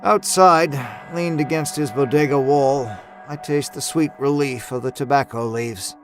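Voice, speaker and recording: A man's voice: medium pitch, steady tone, a little slow, soft background music. A man narrating a scripted first-person horror story in a wry, understated, dramatic way. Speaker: audio fiction narrator. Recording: narration over musical score.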